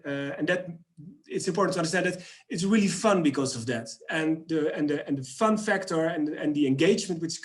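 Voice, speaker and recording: Upbeat Dutch man presenting on a call. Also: on the high side. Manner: upbeat, filler-heavy, restarts often. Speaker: company presenter. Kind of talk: webinar product presentation. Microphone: video call.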